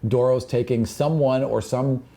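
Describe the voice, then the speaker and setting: A man with a relaxed, mellow voice at a middle pitch acts as game master. He describes fantasy scenes in a conversational, improvised way, circling back over phrases as he explains. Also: unhurried, creaky.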